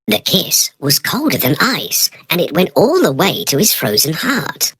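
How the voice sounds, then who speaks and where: A woman's voice: deep voice, big pitch swings, unhurried, fairly bright tone, breathy edge, no echo. A woman narrating a children's storybook from a script.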